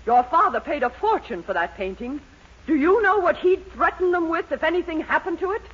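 An actress playing a proud, imperious, middle-aged American matron with indignant delivery in a 1940s radio sitcom. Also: female voice, very high voice, expressive, brisk, fairly bright tone. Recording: noisy, dull 1940s radio recording.

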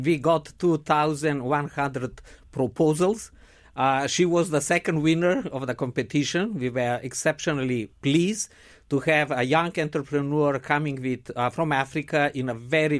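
A man who organized the competition, giving formal, measured interview answers. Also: on the high side.

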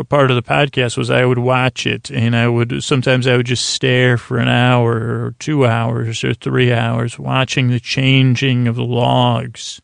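Very creaky, smoky man rambling soothingly. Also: mid-range voice, no echo. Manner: meandering, halting, self-deprecating. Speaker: podcast host. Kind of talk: rambling, soothing bedtime monologue.

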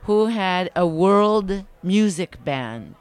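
A middle-aged woman from Canada chats as an interview guest, animated and prone to wandering off on tangents. Her voice is smooth and resonant, clean and well-defined. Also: lowish voice, drawn-out words, no room sound. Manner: animated, chatty, digressive storyteller. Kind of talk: casual interview chat.

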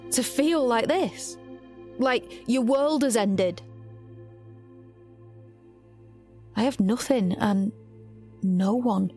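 An actress playing a despairing, isolated young rebel in a dystopian audio drama, narrating her ordeal in an introspective, halting way. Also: fairly high pitch, darkish tone. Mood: bleak, questioning. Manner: introspective, halting, self-questioning.

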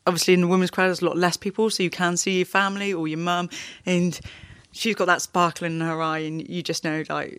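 A woman's voice: low-pitched, fairly bright tone, no echo, studio quality. A woman, a rugby player who also works as a plumber, chatting in an interview with lots of "like" and laughter. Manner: chatty, laughs often, many likes and fillers. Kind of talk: casual interview chat.

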